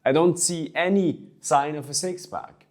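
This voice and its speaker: A man's voice: on the high side, unhurried, darkish tone. A young man from Switzerland, a fitness coach, talking earnestly about his own experience in a vlog, with small restarts as he goes. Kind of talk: personal vlog monologue.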